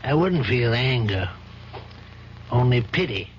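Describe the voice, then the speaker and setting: An actor playing a calm, patient older judge in a radio drama, speaking in a measured, fatherly way. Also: mid-range voice. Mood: reflective. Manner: measured, fatherly, gently probing. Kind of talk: 1970s radio drama acting. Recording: dull old radio broadcast, faint hiss.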